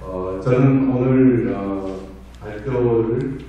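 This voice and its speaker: A middle-aged man who is hoarse from a cold gives a polite, conversational presentation at a conference. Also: on the low side, drawn-out words, lo-fi sound. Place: large conference hall. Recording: very echoey hall, voice distant.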